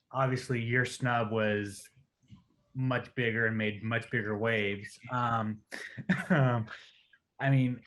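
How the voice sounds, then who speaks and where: A man asking casual interview questions as a sports interviewer, with loose, halting phrasing. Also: mid-range voice, fairly bright tone, slight background noise.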